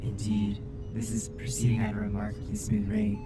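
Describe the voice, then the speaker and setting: Caring male robot crewmate played by a man, formal and measured, for ASMR audio drama with music underneath. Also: fairly low pitch, sing-song, creaky. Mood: calm, attentive. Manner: formal, precise, measured wording.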